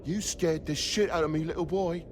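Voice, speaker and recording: A man's voice: fairly high pitch, steady tone, moderate pace, a little hiss or hum, lightly acted. An actor with a British accent voicing an irascible, protective toad father in a video game, blustering and indignant. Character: irascible, foul-mouthed toad father, protective of his son.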